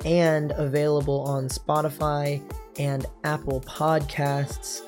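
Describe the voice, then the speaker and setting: A young American man hosting a podcast and interviewing in a casual way, his voice a little hoarse. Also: a little high, little pitch movement, slow pace, darkish tone, faint music behind. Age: young adult. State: slightly hoarse. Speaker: podcast and college radio host. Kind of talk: casual show presenting and interviewing.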